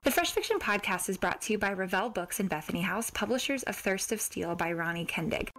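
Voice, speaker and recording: A woman hosting a podcast in a chatty, informal way, speaking at a medium pitch. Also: animated, a little fast, music in the background, low-quality recording. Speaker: podcast host interviewer. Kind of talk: podcast intro and casual interview chat.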